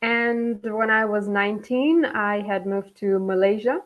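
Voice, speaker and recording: A woman's voice: medium pitch, a little slow, soft background music. A woman in her thirties from Bangladesh, an English teacher, talking in a friendly way with short encouraging replies during a live online lesson. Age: 30s. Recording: dull lo-fi online call audio.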